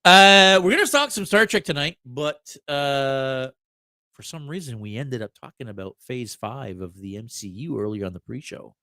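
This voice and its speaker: A man's voice: mid-range voice, animated, normal speed, pushed and bright, no room sound. A man co-hosting a podcast with a stuffed-up nose, bantering in an easygoing, friendly way. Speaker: podcast co-host. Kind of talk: casual chat.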